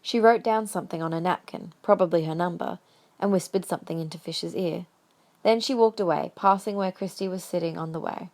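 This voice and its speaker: A woman's voice: on the low side, brisk, a little mellow. A woman reading scripted narration for a fan-made audio drama.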